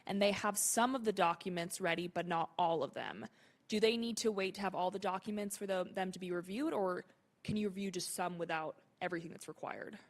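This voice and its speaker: A woman's voice: lowish voice, brisk. Woman asking a careful question. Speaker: audience member asking a question.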